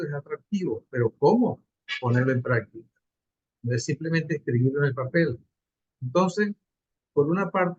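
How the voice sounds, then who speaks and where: A man from Venezuela presenting a project in an online class, earnest and halting, with fillers. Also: on the high side, husky, dry recording. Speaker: course participant presenting a project. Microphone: video call. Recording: dull dry video call audio.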